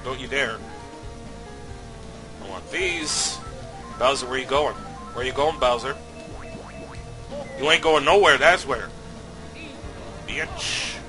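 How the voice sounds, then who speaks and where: A man commentating his own racing game playthrough, slightly sick and nasal, swearing often with sudden loud outbursts. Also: mid-range voice, poor audio. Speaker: let's play host. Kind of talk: casual gameplay commentary.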